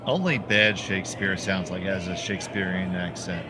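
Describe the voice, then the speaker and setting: A man's voice: deep voice, moderate pace, a loud crowd. Playful man with a Philadelphia accent. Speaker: play-by-play commentator. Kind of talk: sports commentary.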